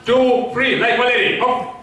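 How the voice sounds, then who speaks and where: A young man, not a native English speaker, presenting comedy on stage in halting, broken English with repeated words. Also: very high-pitched, flat delivery. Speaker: stage performer, pantomime artist. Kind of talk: comic stage presentation to audience. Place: indoor auditorium stage. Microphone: stand microphone, picked up by far camera. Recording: distant, very echoey hall, noisy audience. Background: loud crowd and room noise.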